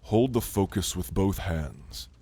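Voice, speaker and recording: Man playing a low-voiced calm orc shaman. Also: breathy edge, exaggerated voice. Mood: instructive, gentle. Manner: soothing, measured, formal wording. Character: calm, scholarly male orc shaman, gentle mentor. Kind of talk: ASMR roleplay acting.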